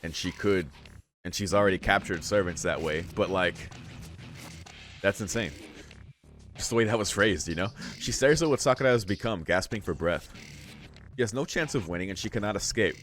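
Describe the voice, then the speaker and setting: Low-voiced man reading and reacting. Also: moderate pace, long pauses, fairly bright tone, faint music behind. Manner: informal, exclamatory asides between read passages. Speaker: visual novel let's play host. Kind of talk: reading game text aloud with casual reaction commentary.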